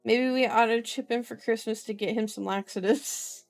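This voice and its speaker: A woman's voice: a little high, fairly even intonation, normal speed, vocal fry. Woman reading as a mocking, crude squad cop. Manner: mocking, jokey. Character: crude, joking male police squad member. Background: music.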